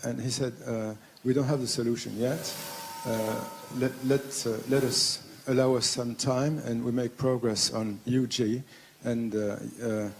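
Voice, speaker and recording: A man from the audience poses a long question from the floor. He speaks haltingly, filling pauses and correcting himself often. His voice has a gravelly edge at a mid pitch. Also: steady tone, a little mellow, slight background noise. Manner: halting, many fillers and self-corrections. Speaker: audience member asking a question.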